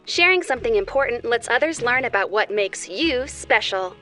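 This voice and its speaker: Gentle angel host for a kids' cartoon, played by a woman: slightly high, soothing, instructive, music underneath. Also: expressive, normal speed, lightly acted. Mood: kindly, encouraging. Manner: soothing, instructive, addresses the young viewer directly. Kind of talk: children's educational show dialogue. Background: background music.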